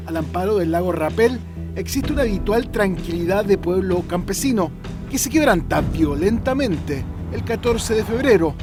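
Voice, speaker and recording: A Chilean man reads a crime report as a scripted voice-over, measured and dramatic. His voice sits high for a man. A clear music bed plays under the narration.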